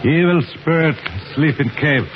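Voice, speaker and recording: A man's voice: fairly high pitch, measured pace, noisy background, close to an everyday voice. A man playing a superstitious, wary Indian guide in a 1940s radio drama, speaking in terse broken English. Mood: wary warning. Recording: muffled old radio recording, music behind.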